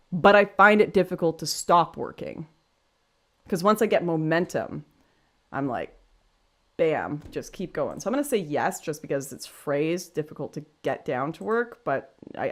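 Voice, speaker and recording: Woman, 20s, deep voice, candid and self-deprecating, casual livestream host. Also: sing-song, normal speed, fairly bright tone. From Canada. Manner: chatty, frank, self-deprecating, thinks aloud with restarts. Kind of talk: casual livestream chat reading super chats.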